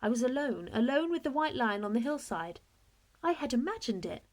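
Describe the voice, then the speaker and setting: A woman reading a children's story aloud in expressive narration, like a teacher to a class. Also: high-pitched, brisk.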